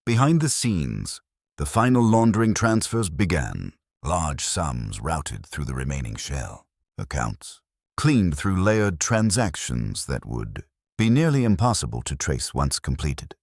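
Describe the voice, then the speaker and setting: A man reading a scripted, dramatic first-person story in a measured, deliberate manner. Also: rumbling low, very animated, no echo, clean studio sound. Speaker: story narrator.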